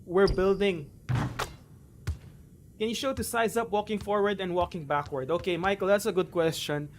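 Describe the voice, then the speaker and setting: Filipino man, 30s, slightly high pitch; easygoing live-stream game coach, explains as he goes. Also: moderate pace, slight background noise. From Philippines. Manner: relaxed, chatty, explains with frequent fillers. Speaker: gaming streamer.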